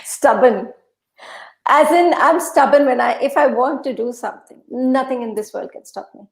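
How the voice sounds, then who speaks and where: A woman's voice: high-pitched, normal speed, gravelly. Urdu-native woman coaching on a podcast, echoey room, emphatic, says things twice to drive it home. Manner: emphatic, instructive, repeats phrases. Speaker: podcast guest, mindset coach. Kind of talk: conversational motivational advice.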